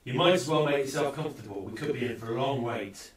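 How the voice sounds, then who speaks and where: A man's voice: mid-range voice, fast talker, recorded from a distance, natural voice. A man playing an earnest, dutiful police inspector in a steampunk radio play, formal and resolute. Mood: quiet concern. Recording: very echoey room, noisy, off mic.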